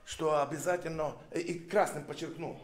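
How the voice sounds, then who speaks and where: A man whose first language is not Russian, preaching as a guest in short phrases and pausing for an interpreter. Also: on the high side, fairly even intonation, roomy sound, a crowd in the background. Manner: pauses for interpreter, sings snatches of a hymn. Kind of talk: sermon with live interpretation. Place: church hall.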